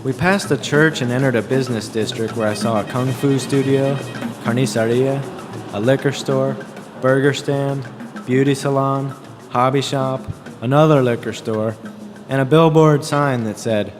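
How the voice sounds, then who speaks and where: A man reading a scripted first-person story in a deadpan, terse way, as a wry, observant driver out with his girlfriend. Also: mid-range voice, moderate pace, natural voice. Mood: wry, detached. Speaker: author reading excerpts from his own novel. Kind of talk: scripted first-person narration with dialogue over music. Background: music.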